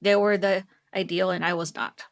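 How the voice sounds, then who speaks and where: A woman's voice: on the low side, little pitch movement, normal speed. A pregnant woman in her thirties from South Korea, a tech executive talking earnestly and reflectively as a podcast guest, with frequent light laughs. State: heavily pregnant. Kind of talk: podcast interview.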